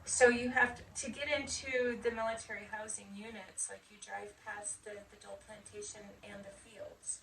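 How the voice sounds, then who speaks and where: A woman's voice: mid-range voice, level tone, normal speed, creaky, few pauses, a bit bright. A woman in her fifties chatting casually as she recalls her past in an interview. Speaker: veteran being interviewed. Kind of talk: casual recollection. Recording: distant mic with faint background noise.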